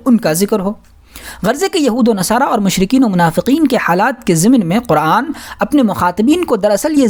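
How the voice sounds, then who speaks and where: A woman reading a scholarly religious book aloud as an audiobook narrator, in a formal, measured way. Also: on the high side, a little mellow. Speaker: audiobook reader.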